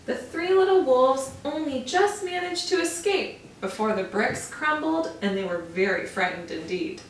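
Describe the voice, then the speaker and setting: A woman's voice: high voice, animated, moderate pace, a little hiss or hum. A woman teacher reading a picture book aloud to young children, stopping to explain words. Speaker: first grade teacher. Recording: echoey room, mic far off.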